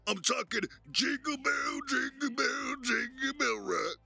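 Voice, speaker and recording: A man voicing a brash biker Santa Claus for a game, with a gravelly, strained belly voice and the swagger of an announcer working a crowd. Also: extremely high pitch, unhurried, sharp tone, faint music behind, voice pushed up, exaggerated voice. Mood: slick, jolly swagger. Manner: slick, in-your-face salesman swagger. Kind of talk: shouted announcer-style game character voice.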